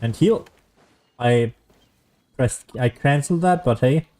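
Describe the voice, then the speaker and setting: A man streams a game in casual, rambling commentary, speaking as a native German speaker. His voice sits at an average pitch with a soft, mellow tone. He often jokes at his own expense as he thinks out loud. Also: takes it slowly. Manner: rambling, self-deprecating joking.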